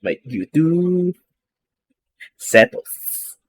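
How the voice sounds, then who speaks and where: A man giving casual live gameplay commentary, speaking in halting short phrases and muttered reactions. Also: fairly high pitch, big pitch swings, a little hiss or hum. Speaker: game streamer. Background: faint game music.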